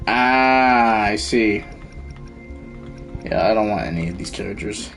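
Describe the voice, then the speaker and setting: Male game streamer, slow informal thinking-aloud commentary, creaky voice, faint music behind. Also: fairly low pitch, fairly bright tone. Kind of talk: casual live gameplay commentary.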